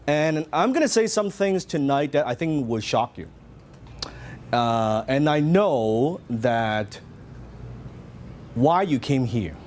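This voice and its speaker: A middle-aged man from Hong Kong with his accent still clear, addressing a live seminar crowd. His pitch rises and falls in a very animated way as he throws questions to the room. A faint hum of room noise sits under his voice. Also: takes it slowly, pauses often. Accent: Hong Kong Cantonese. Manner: lively, interactive, asks the audience questions. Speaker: seminar speaker. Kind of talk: live seminar talk to an audience.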